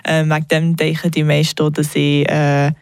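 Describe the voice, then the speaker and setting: A young woman, a native English speaker, chatting casually in an interview about her tarot readings, relaxed and full of small hesitations. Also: low-pitched, moderate pace, no echo, clean studio sound. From Switzerland. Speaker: tarot reader.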